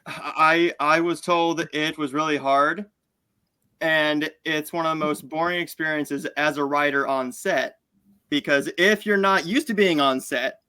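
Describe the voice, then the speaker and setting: A man's voice: on the high side, fairly even intonation, a little slow, pushed and bright, clear, resonant tone. A man chatting in an interview in a teasing, talkative way. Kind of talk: casual interview chat.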